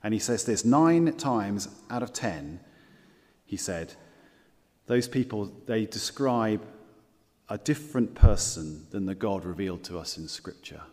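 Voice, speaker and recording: Low-voiced male preacher in an echoey hall. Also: lively intonation, a little mellow. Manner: earnest, repeats key phrases, slows down for scripture quotes. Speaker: pastor. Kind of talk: sermon.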